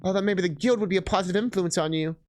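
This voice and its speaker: A man's voice: sits high, very fast, darkish tone, a little hiss or hum, close to an everyday voice. A man voicing a caring but disappointed woman paladin in a fantasy story, earnest, firm and a little hesitant.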